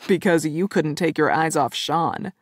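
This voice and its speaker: A woman voicing a cheerful, easygoing young man playing matchmaker, in a slightly lower, relaxed tone. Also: a little slow, slightly rough, dry recording, studio quality. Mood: amused.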